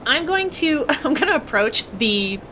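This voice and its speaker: A woman's voice: very animated, measured pace, bright, forceful tone. A woman playing a tabletop game, chatting casually and playfully as she narrates what her character does. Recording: faint rumble behind voice.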